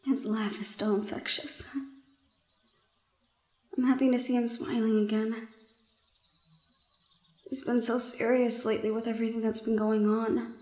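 A woman's voice: on the high side, level tone, unhurried, long pauses, warm tone. A woman playing a shy young gorgon's private thoughts, musing to herself in an audio roleplay, with an echo effect. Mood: fond. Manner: self-talking, musing. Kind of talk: inner monologue in roleplay audio drama. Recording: echoing voice.

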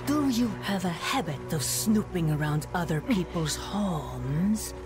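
A woman playing a menacing, zealous witch devoted to a demon queen in a dark fantasy game, taunting and ominous. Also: on the low side, expressive, a little slow, loud music behind the voice.

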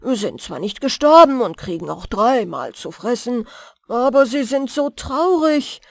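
A plain-spoken miller from a fairy tale, full of pity, played by a woman narrator. He talks emphatically and plaintively, with animated intonation. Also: a little high, unhurried, vocal fry, no echo, studio quality.

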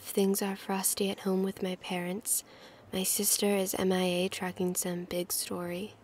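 An actress playing a young, quippy, rambling superheroine in an audio drama. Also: female voice, medium pitch, a little mellow, breathy edge. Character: wisecracking young superheroine, lonely but cocky.

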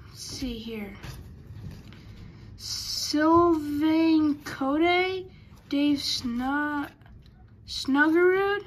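A woman with a high, light voice talks casually while sorting trading cards at a table. She is chatty and often trips over hard player names. A faint hum sits quietly behind her. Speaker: card collector. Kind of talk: casual card-opening commentary. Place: indoors at a table.

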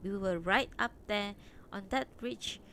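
A woman's voice: mid-range voice, unhurried, sharp tone, a little hiss or hum. A woman reading the part of a cheerful, effusive husband in a story, speaking courteously. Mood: delighted. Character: smiling husband, cheerful, effusive walker and admirer.

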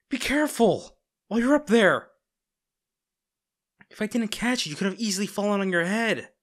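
A man's voice: sits high, fairly bright tone, echoey room, long reverb tail, exaggerated voice. A man playing a stern, exasperated bodyguard with secret ill intent in a scripted audio roleplay. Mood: alarmed, scolding. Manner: polite to her, muttering dryly in asides. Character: stern, exasperated young male bodyguard secretly plotting against his charge.

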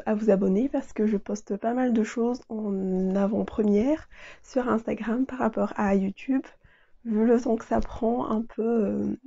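A woman's voice: on the high side, vocal fry, mellow, highs cut off. A young woman with a cold, sounding nasal, chatting to camera in a sewing vlog with lots of fillers and restarts. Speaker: sewing vlogger. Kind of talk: casual talk to camera. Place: small bedroom sewing corner, indoors.

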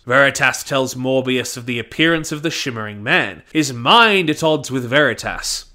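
A man's voice: fairly high pitch, sharp tone. A man reading a scripted review of an audio drama in a casual, wry manner.

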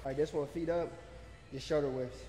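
A man's voice: a little high, steady tone, brisk, mellow, a little hiss or hum. A young man casually narrating his chest workout between sets, in a laid-back way with slang, recorded by a camera across a large indoor gym. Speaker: fitness vlogger. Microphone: camera on a stand across the room. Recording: echoey gym, far from camera.

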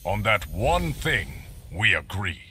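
A man playing a gruff, menacing monstrous warrior in a fighting video game, gravelly and deliberate in a theatrical way. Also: low-pitched, sing-song, heavy background noise, exaggerated voice. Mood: stern.

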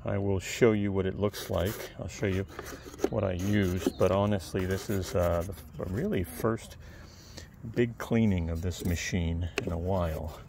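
A man talks in a relaxed, rambling way to his viewers while working outdoors. His voice is soft and a bit low with a gravelly edge. The close camera picks up clear background noise. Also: a little slow. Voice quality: soft, calm voice. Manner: chatty, rambling asides to the viewer. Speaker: video creator. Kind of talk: casual how-to talk while working. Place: outdoors on a lawn. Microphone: camera held close, point of view.

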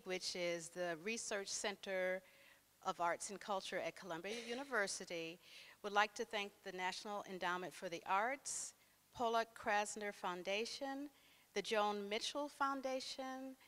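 A woman moderator at an indoor gallery panel, her voice sitting in a middle pitch. She reads out thanks, then asks her questions with frequent pauses. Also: fairly bright tone, clear, resonant tone. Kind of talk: opening remarks and questions at a panel.

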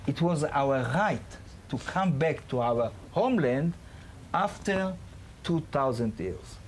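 Man lecturing in halting English. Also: fairly high pitch, expressive, slight background noise. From Israel. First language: not English. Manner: halting, non-native phrasing with restarts. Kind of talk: public lecture.

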